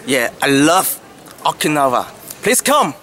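A man's voice: on the high side, sing-song, measured pace, bright, forceful tone, slight background noise. A man in his twenties with a Hong Kong accent, giving casual answers in an interview. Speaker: tourist interviewee. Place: outdoors in an open courtyard.

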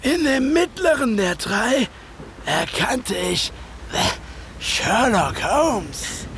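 Radio drama narrator, male actor as confused would-be killer: slow, halting, theatrical, highly animated. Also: creaky, a little hiss or hum, heavily acted. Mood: tense, dramatic. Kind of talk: dramatic first-person radio play narration.

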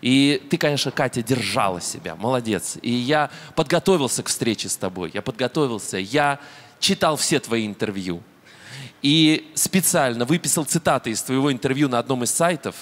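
A man's voice: mid-range voice, animated, normal speed. A man hosting a TV show in a studio, playful and ironic. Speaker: TV show host. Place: TV studio set. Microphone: handheld.

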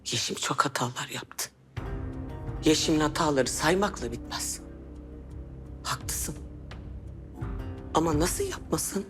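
An earnest, reproachful woman in a TV drama. Her voice is on the low side, emphatic and pleading, with music behind. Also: expressive, slow pace, long pauses, fairly bright tone, exaggerated voice. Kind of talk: TV drama acting.

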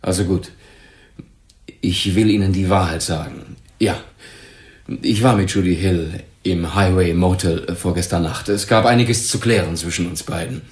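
A young businessman suspect acted by a man in a crime radio play. He is defensive and emphatic, with a low voice in a roomy acoustic. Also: normal speed, lightly acted. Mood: insistent. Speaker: actor in a radio drama.

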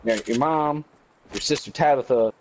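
A man's voice: medium pitch, normal speed, a little hiss or hum. A young man with a New York accent, teasing people during a prank call and game voice chat. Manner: lively, teasing, often laughs. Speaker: prank caller and gamer. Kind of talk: casual chat over voice chat.

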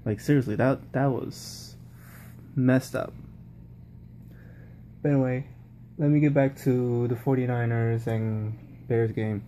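A man's voice: medium pitch, flat delivery, slow pace, long pauses, dark tone, a little hiss or hum. A man giving casual, rambling post-game football commentary, often repeating himself and restarting sentences. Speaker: football vlogger.

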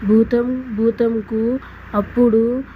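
A female narrator reads a scripted children's tale. Her voice is fairly high with little change in melody. The recording is dull, with faint noise. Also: little pitch movement, drawn-out words.

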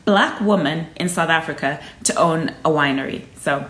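A woman's voice: deep voice, moderate pace, fairly bright tone. A woman in her thirties from Zimbabwe, a Shona speaker, hosting a live stream from a room at home into a phone held at arm's length, talking earnestly and encouraging her viewers. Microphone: phone camera at arm's length. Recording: echoey room.

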